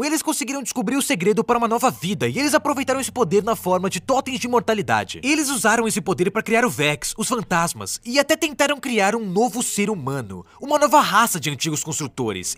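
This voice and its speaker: A man's voice: high voice, fairly bright tone. A man with a Brazilian accent narrating a scripted story in a dramatic, earnest and emphatic way. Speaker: narrator of a dubbed video essay. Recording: music behind the voice. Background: background music.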